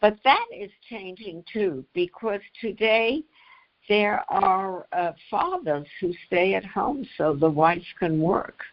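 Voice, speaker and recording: An older woman from the United States speaking as an interview guest, pausing often mid-phrase as she recollects and explains. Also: medium pitch, animated. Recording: slightly dull, lo-fi audio.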